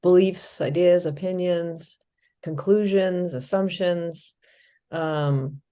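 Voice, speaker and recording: A woman's voice: fairly low pitch, slow, dark tone. A middle-aged woman co-hosting a casual podcast, talking thoughtfully and listing ideas with many fillers. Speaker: podcast co-host.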